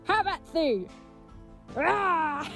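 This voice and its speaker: A woman's voice: extremely high pitch, expressive, drawn-out words, fairly bright tone, heavily acted. Comedic audio drama lead: gallant, earnest leathersmith hero played by a woman, grandly old-fashioned delivery, faint music bed. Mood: bold, boastful. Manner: pompous, flowery old-fashioned speech. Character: gallant, earnest, dim leathersmith turned would-be knight.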